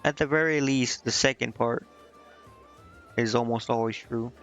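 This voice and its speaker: A man's voice: mid-range voice, steady tone, a little slow, fairly bright tone. A man casually commenting on a video game he is streaming, thinking aloud and reading bits of on-screen text. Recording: slightly dull lo-fi mic, game music behind.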